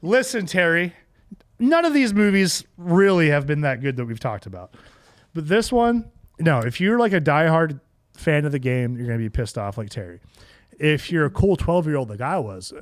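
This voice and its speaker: A man's voice: fairly high pitch, sing-song, moderate pace, no room sound. A man co-hosting a podcast, chatting casually about geek culture in an emphatic, slangy way. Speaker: podcast co-host.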